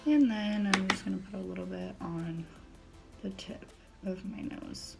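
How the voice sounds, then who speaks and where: A young woman whose voice is still a little raspy and gravelly from a recent bout of laryngitis. She talks at a middle pitch in a casual, chatty way, walking step by step through a makeup routine with plenty of "um"s. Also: unhurried, soft background music. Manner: chatty, filler-heavy step-by-step explaining. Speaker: beauty vlogger.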